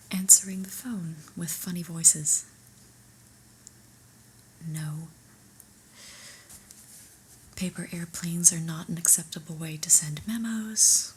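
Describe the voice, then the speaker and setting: A whispering female boss, acted by a woman in an ASMR roleplay, stern and unimpressed. Her breathy delivery is very slow and slightly low, with dry, officious emphasis. There is faint noise behind her.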